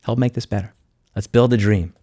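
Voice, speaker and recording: Low-voiced, blunt man vlogging. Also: steady tone, fairly quick, dark tone, no room sound, studio quality. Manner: blunt, sardonic, informal with swearing. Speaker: YouTube host and consumer rights advocate. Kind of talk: unscripted vlog monologue, screen walkthrough.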